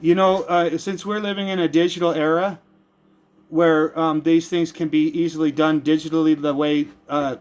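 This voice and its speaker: Halting, higher-voiced American man making a complaint. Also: little pitch movement, smooth. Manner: halting, searching for words, with frequent hesitations and repeats. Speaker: caller making a complaint to a public agency. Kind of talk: earnest, rambling phone complaint.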